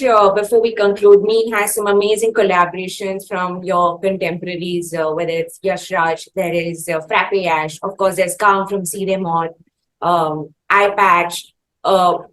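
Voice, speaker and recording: Indian woman interviewer, medium pitch. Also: poor audio. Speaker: interviewer, host. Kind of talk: interview questioning.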